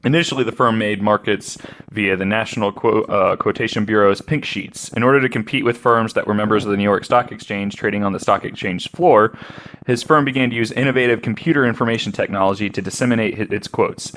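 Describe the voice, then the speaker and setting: A man's voice: on the low side, fairly quick, fairly bright tone, a little hiss or hum. A man hosting a podcast, reading researched notes aloud in an informal, conversational way. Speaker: podcast host.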